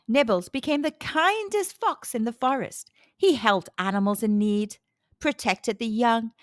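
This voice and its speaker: A woman narrating a scripted children's storybook in an expressive, measured storytelling manner. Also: on the high side, no echo, studio quality.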